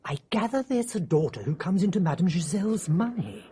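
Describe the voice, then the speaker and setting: An actor playing an eccentric, self-pleased English male mystery writer in a radio drama, effusive and theatrical, with a husky voice. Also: high-pitched, very animated, brisk, dark tone, slight background noise. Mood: musing.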